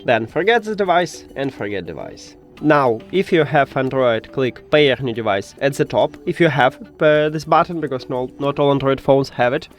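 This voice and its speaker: A man who speaks English as a second language, hosting a step-by-step tech tutorial in a halting, instructional way. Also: mid-range voice, expressive, soft background music. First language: not English.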